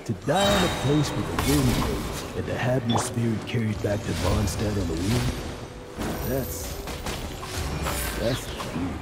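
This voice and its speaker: An actor playing a boastful, self-styled legendary adventurer in a video game, with a pompous, theatrical way of talking. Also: male voice, lowish voice, expressive, a little slow. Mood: solemn. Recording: game music over the voice.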